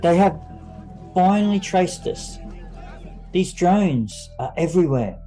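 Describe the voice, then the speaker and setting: Storybook fugitive rebel, played by a male narrator: alarmed, urgent, pitched up. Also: expressive, takes it slowly, mellow, soft background music, low-quality recording.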